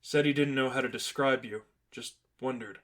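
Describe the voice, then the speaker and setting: A man's voice: mid-range voice, steady tone, moderate pace, fairly bright tone, lightly acted. A man voicing a blunt young female vigilante who talks in clipped phrases in a story reading. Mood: curious. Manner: clipped, terse.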